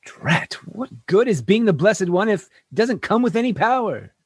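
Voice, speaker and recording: A man's voice: sits high, big pitch swings, moderate pace, slightly rough, full character voice. A man playing an exasperated, tactless human starship captain in a sci-fi radio comedy, blustering and pleading as he talks.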